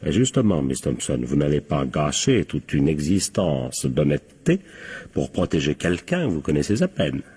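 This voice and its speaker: An actor playing a sardonic police captain in a French radio crime drama, husky and colloquial, prodding with short tag questions. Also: male voice, very deep voice, sing-song, moderate pace, darkish tone, full character voice. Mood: pressing, stern. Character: male police captain, insistent, sardonic interrogator.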